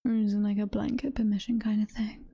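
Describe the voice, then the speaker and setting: A woman playing a doting, possessive demon mommy girlfriend in an ASMR roleplay, whispering softly and tenderly with a British accent. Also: mid-range voice, flat delivery, highs cut off. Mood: playful, hopeful. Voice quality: breathy, soft-spoken whisper. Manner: slow, tender, coaxing. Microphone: close ASMR microphone.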